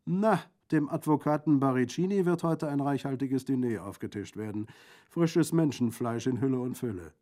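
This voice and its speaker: A man's voice: medium pitch, expressive, normal speed, dark tone, pitched above the performer's own voice, close to an everyday voice. A man voicing a boisterous Corsican bandit in an audiobook, jovial and exclamatory, with a gleefully mocking, gruesome humour. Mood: gleefully macabre. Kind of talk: audiobook character acting.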